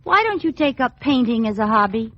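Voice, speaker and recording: An actress playing a bubbly, teasing, affectionate suburban housewife in an old radio comedy, with a playfully wry, animated manner. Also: female voice, a little high, a little fast, background noise. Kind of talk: 1940s-50s radio comedy acting. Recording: muffled vintage radio recording.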